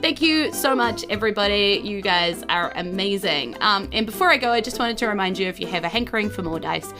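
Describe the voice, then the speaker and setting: A woman's voice: mid-range voice, animated, brisk, music in the background. A woman with a New Zealand accent hosting a podcast, chatting informally through announcements and thanks to supporters. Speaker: podcast host.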